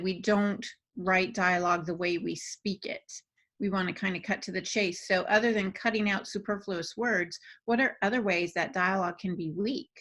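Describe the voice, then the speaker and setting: Female podcast panellist, medium pitch, casual conversational questioning. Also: a bit bright.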